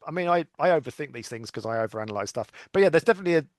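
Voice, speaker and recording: Higher-pitched British man in casual podcast discussion. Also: lively intonation, very fast, no room sound, studio quality. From Britain. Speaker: podcast guest.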